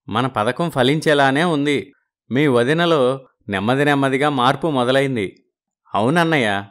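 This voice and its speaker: A man voicing a proud but soft-hearted village brother and husband in an animated moral story. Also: medium pitch, close to an everyday voice. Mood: remorseful, affectionate.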